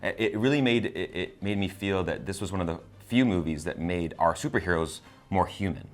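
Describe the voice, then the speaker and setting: A man's voice: deep voice, a little fast. A man co-hosting a casual film review, talking in a hesitant way and often repeating words as he goes. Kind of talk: casual spoiler review chat.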